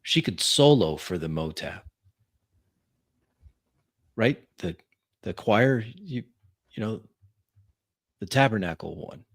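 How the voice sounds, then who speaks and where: Man playing a deep-voiced, deferential vampire supplicant. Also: very animated, gravelly, no echo, studio quality, heavily acted. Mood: apologetic, eager. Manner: halting, apologetic, obsequious. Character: deferential vampire pleading with a powerful sheriff.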